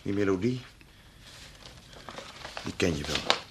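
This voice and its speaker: A heartsick young poet. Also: male voice, expressive, background noise, full character voice. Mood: defensive. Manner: halting, defensive, slow and low. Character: brooding heartsick young male poet, touchy. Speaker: radio drama actor. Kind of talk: 1970s radio play acting. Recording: radio play with music.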